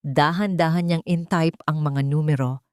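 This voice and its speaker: Woman, Filipino accent, low voice, dry close sound, dramatic scripted storytelling, deliberate delivery. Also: fairly even intonation, clear, resonant tone, no echo. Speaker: story narrator.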